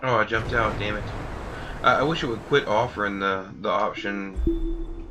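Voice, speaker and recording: A man's voice: on the low side, normal speed. A man giving casual let's play commentary, wry and chatty, talking back at the game. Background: game music.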